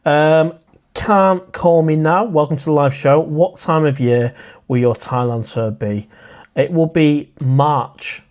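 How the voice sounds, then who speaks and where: A man's voice: fairly high pitch, takes it slowly, darkish tone. A man, hosting a casual live stream and chatting in a friendly way as he reads out viewer comments. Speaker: livestream host.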